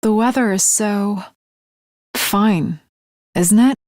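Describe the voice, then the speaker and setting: A woman's voice: medium pitch, takes it slowly, a little mellow, dry recording, clean studio sound, natural voice. A woman playing an earnest, haughty young female samurai in an anime video game, with formal, archaic, melodramatic diction. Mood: stern, resolute. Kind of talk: dramatic anime game character acting.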